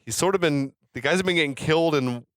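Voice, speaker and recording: A man's voice: on the high side, fast, dry recording, clean studio sound. A man co-hosting a podcast, chatting casually about film and telling stories in profane, slangy language. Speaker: podcast co-host.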